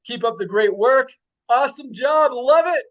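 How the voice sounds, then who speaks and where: A man's voice: very high voice, moderate pace, fairly bright tone, dull audio. A man hosting a company call, enthusiastically reporting numbers and repeating them for emphasis. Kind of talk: upbeat company update on a video call.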